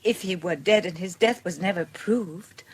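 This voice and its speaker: Anxious British wife, low-voiced. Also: female voice, moderate pace, husky, low-quality recording. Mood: troubled. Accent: British English. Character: anxious, wronged wife in love, wistful and restrained. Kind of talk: BBC radio drama acting.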